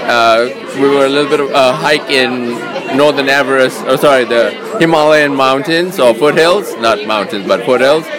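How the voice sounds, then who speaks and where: A man's voice: mid-range voice, moderate pace, few pauses, a crowd in the background. A man from Sri Lanka being interviewed, telling a rambling story with hesitant self-corrections. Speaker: interview guest. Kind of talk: casual interview chat. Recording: crowded pub smoking area.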